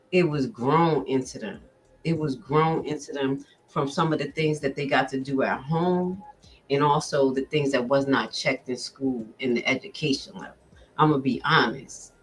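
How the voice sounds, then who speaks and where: A woman's voice: low voice, a little hiss or hum. A woman vlogger giving blunt, profane commentary while reacting to a recording and talking straight to her viewers. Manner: addresses viewers directly.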